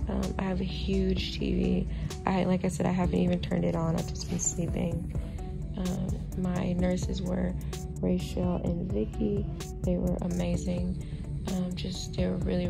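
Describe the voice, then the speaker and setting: A young woman in her twenties, hoarse and raspy after surgery, talking slowly and tiredly into a phone from a hospital bed. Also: mid-range voice. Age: 20s. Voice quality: raspy, dry-mouthed. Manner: slow, tired, many ums. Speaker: vlogger. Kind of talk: casual vlog, talking to camera. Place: hospital room, indoors. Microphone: phone held close, lying in bed.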